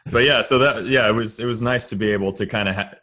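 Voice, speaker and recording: A man's voice: on the low side, fairly even intonation, fast talker, bright, forceful tone. A man talking casually as a guest writer in a podcast interview.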